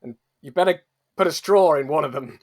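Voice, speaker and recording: A man with a fairly high voice plays in an improvised comedy roleplay. He narrates his character's actions as he goes. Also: brisk. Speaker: player in a roleplay podcast.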